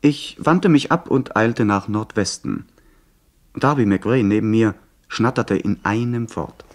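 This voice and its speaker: Earnest adventure narrator in a radio play, fairly low voice, telling it as his own story. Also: measured pace. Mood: recounting, earnest. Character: young gentleman recounting his adventure, earnest. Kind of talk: scripted first-person radio play narration.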